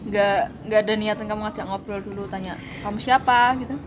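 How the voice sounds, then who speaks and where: A woman's voice: mid-range voice, steady tone, brisk. A woman in casual chat, asking curious questions and teasing the others. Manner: curious, asks questions and teases. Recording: noisy background.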